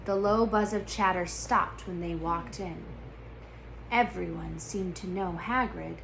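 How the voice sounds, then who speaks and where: A woman's voice: lowish voice, a little slow, gravelly, a bit bright, slight background noise, rough recording. A woman reading a storybook aloud expressively, as a storyteller to listeners.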